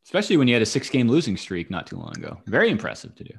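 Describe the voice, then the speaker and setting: A man hosts casual sports banter with very animated pitch that swings up and down. His talk is slangy and joking, at a middling pitch. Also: rapid-fire, creaky, slightly rough. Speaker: sports podcast host.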